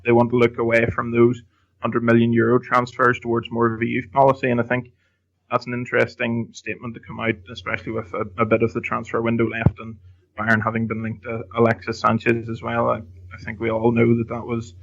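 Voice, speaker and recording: A man's voice: mid-range voice, monotone, fairly quick, creaky. A man giving casual, analytical opinions as a guest on a football podcast. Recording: slightly dull, lo-fi audio.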